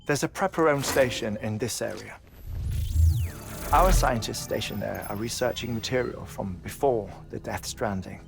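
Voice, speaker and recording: An actor playing a gentle, obsessive middle-aged scientist who carries grief, articulate and professorial, in a video game cutscene. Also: male voice, mid-range voice, creaky, natural voice. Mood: earnest, explanatory. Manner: measured, articulate, professorial, with hesitant pauses. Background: music.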